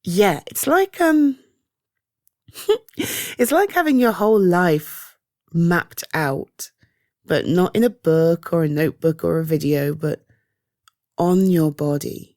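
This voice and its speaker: A British woman performing an ASMR roleplay as a caring partner-like confidante, speaking softly and hesitantly with reassurance. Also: on the low side, lively intonation, no room sound, clean studio sound. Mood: gentle reassurance. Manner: hesitant, soft reassuring, halting pauses. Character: caring, reassuring woman comforting a loved one. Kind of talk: ASMR roleplay, one-sided intimate conversation.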